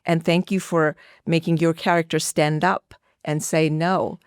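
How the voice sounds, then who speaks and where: A woman's voice: deep voice, flat delivery, a little slow, smooth, no room sound, studio quality. A middle-aged woman from India with an Indian accent, answering conversationally as an interview guest and telling personal stories. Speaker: podcast guest, actress and filmmaker.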